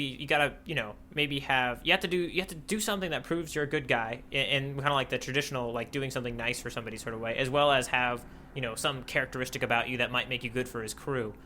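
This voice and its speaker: Male podcast host, bright mid-pitched voice, thoughtful, halting casual delivery. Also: slight background noise. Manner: thoughtful, hesitant, many ums and restarts.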